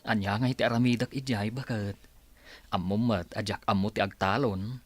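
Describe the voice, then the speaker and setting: A man's voice: lowish voice, fairly even intonation, moderate pace, dry recording, natural voice. A man playing an easygoing, stubbornly hopeful young husband in a radio drama, talking casually. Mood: dismissive, hopeful.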